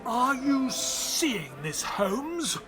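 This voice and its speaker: An earnest, animated high-pitched sidekick narrator. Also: male voice, very slow, music in the background, heavily acted. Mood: shocked. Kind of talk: dramatic narration and dialogue of an audio play.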